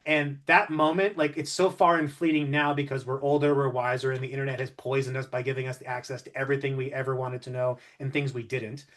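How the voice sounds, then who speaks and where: A man's voice: mid-range voice, level tone, fast talker, hardly any pauses, a bit bright. A man co-hosting an interview, chatting casually and musing at length, often circling back to rephrase. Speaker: interviewer, co-host. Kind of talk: casual discursive conversation.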